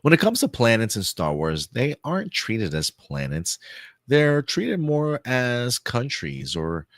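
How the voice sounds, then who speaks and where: A man hosting a live pop-culture news stream, talking casually with loose fillers and repeats, his voice a bit hoarse. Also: medium pitch, huge pitch swings, a little slow, dry recording, studio quality. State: voice getting hoarse.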